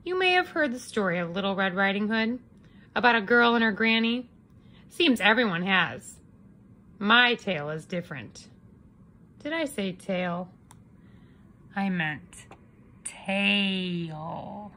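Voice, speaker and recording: Sly big bad wolf, performed by a woman, self-justifying and mock-innocent, animated, unhurried picture-book delivery. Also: mid-range voice, heavily acted. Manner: theatrical, mock-innocent storytelling. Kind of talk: children's picture book read-aloud.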